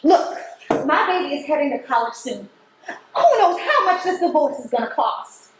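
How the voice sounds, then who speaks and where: A woman's voice: high-pitched, big pitch swings, moderate pace, a little hiss or hum. An actress on stage playing a strained, guarded middle-class woman supervisor at a post office, defensive and official in manner. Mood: defensive, pleading. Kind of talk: stage play acting. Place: theatre stage. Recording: very echoey stage recording.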